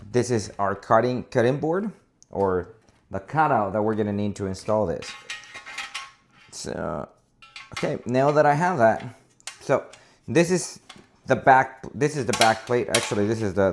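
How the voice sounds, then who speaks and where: A man working as an installer gives a casual, unscripted product walkthrough, hesitating and often correcting himself as he repeats words. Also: lowish voice. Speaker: installer presenting a product demonstration. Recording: noisy, with clicks and handling clatter.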